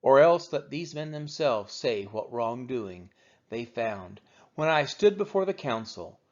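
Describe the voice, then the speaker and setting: A man reading the Bible aloud as scripted narration, in a measured, expressive oratorical manner with deliberate phrasing. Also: medium pitch, dull audio. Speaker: scripture reader of a daily read-along.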